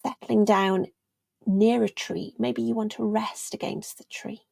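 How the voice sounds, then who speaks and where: Hushed, creaky-voiced woman guiding meditation. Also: medium pitch, fairly even intonation, dry recording. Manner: gentle, soothing, unhurried with long pauses, trailing into near-whisper. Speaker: meditation guide, yoga teacher. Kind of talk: guided meditation narration.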